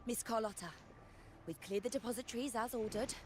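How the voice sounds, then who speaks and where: Actress as a higher-voiced, deferential staffer. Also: female voice, a little fast, natural voice. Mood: dutiful. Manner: formal, reporting. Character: deferential female subordinate staffer. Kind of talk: video game voice acting.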